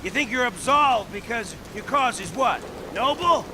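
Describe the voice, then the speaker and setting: Defiant villain, raised high voice. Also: male voice, animated, unhurried. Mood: bitter taunting. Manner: taunting, bitter, forceful. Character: cornered, defiant, accusing male villain. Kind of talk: dramatic video game acting. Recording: clear rain noise behind.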